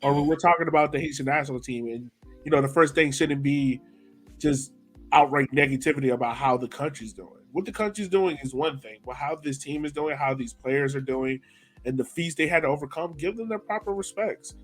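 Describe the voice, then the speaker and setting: A man co-hosting a podcast, talking in a relaxed, earnest way with lots of "like" and "you know". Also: fairly high pitch, fairly quick, faint music behind. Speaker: podcast co-host. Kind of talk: casual podcast chat.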